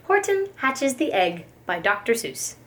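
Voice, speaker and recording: Slow, very expressive woman reading a storybook. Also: a little high. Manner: dramatic, deliberate phrasing. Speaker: preschool story reader.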